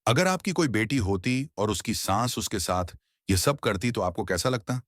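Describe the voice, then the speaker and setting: A man acts out a righteous young brother in a cartoon. His voice is on the low side and moves a lot in pitch, earnest and admonishing, recorded dry. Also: lively intonation, moderate pace, a bit bright, dry recording. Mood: reproachful. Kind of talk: Hindi moral-story cartoon voice acting.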